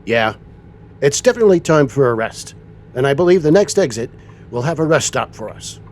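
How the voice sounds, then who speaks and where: A man streaming a truck driving game as his trucker persona, relaxed and folksy. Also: mid-range voice, brisk. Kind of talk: casual roleplay chat over gameplay.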